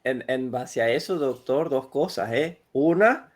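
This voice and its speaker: A Venezuelan-accented man chats as co-host over a video call. He is friendly and halting, with a middle-pitched voice. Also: lively intonation. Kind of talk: casual chat.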